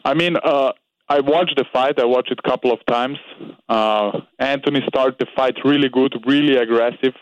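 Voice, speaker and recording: Young austrian guy, german native, searching for english words, smooth voice, kinda muffled and dry. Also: medium pitch, fairly even intonation, no echo. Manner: halting, searching for English words, many "you know"s. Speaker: guest fighter. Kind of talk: interview over a call.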